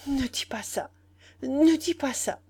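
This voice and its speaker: A woman's voice: mid-range voice, lively intonation, unhurried, a little mellow, husky, no echo. Woman reading as a pleading, lovesick teenage boy. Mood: anguished pleading. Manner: insistent, pleading. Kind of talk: dramatized audiobook dialogue.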